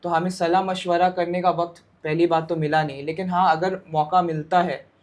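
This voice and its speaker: A man with a slightly raised pitch and very even intonation. He explains things as an interviewed advocate, in a measured and somewhat hesitant manner.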